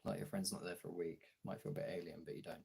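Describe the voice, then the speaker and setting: A man chatting casually as a streamer, thinking aloud haltingly as he searches for words and trails off. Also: low-pitched, level tone, gravelly, darkish tone, slightly rough.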